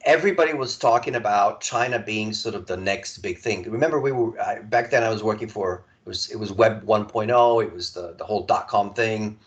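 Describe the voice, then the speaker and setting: A man in his forties from Serbia, a podcast guest telling his story in a relaxed conversational way, stumbling and restarting often. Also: lowish voice, highs cut off. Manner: halting, many restarts and repeated words.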